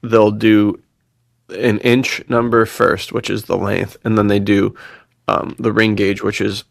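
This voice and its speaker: A man's voice: lowish voice, little pitch movement, a little slow, creaky, no echo, studio quality. A man chatting casually as a podcast co-host, enthusiastic and full of bro slang. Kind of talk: casual chat.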